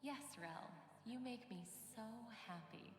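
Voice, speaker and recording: A woman's voice: on the high side, unhurried, lightly acted. Tender mother acted by a woman in long reverb. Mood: loving. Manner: gentle coaxing, encouraging. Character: tender, patient, loving young mother. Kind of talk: dramatic game voice acting. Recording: long reverb, echoey, music behind.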